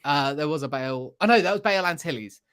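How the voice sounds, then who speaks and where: A man with a slightly high voice talks in a casual podcast discussion. He hosts in a hesitant way, working out his thoughts aloud as he speaks. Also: expressive, fairly quick, fairly bright tone, clean tone. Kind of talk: casual pop-culture discussion.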